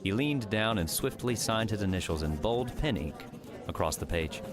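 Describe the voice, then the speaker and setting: A man's voice: fairly low pitch, animated, normal speed, over music. A man reading scripted third-person story narration as an audiobook narrator.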